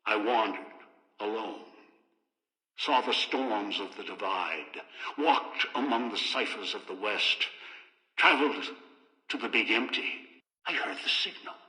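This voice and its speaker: An older actor playing a cold, paranoid, scheming old man in a video game, with deliberate, halting, contemptuous delivery. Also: fairly low pitch, pushed and bright, roomy sound, big-room sound, poor audio. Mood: brooding. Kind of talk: dramatic video game voice acting.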